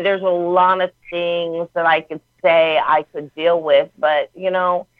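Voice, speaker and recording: A woman's voice: lowish voice, no echo. A woman chatting casually and telling stories emphatically on a call. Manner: emphatic, animated storytelling. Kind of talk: casual chat. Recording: tinny phone line.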